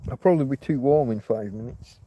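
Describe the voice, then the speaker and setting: A man's voice: mid-range voice, a little fast, dull audio, noisy background. A man hosting a rambling live stream, chatting loosely to his viewers and restarting sentences, with a slight cough. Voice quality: slight cough, throat tickle. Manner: meandering, restarts often, chats to viewers. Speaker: livestream host.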